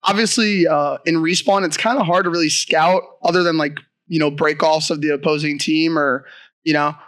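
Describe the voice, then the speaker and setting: A man's voice: on the high side, steady tone, moderate pace, a bit bright, no echo, studio quality. A man talking casually as an esports coach in a round-table discussion, peppering explanations with "like" and "you know".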